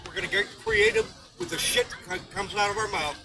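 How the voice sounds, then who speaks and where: A man's voice: sits high, wildly swooping pitch, quick pace, gravelly. A man giving a fired-up, profane speech at an outdoor protest. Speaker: protest organizer. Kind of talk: rally speech.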